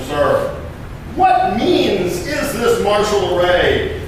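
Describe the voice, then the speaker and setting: A man playing an impassioned eighteenth-century American patriot statesman, declaiming a speech with emphatic, deliberate pauses in a dramatic reading. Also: high-pitched. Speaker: living historian performer. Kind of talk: dramatic historical oratory reading. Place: historic courthouse room. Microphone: distant microphone. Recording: very echoey room, distant mic, noisy.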